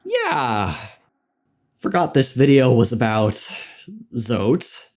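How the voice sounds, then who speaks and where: A man narrating a scripted game lore video essay in a wry, theatrical manner with dramatic pauses. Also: mid-range voice, wildly swooping pitch, darkish tone. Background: music.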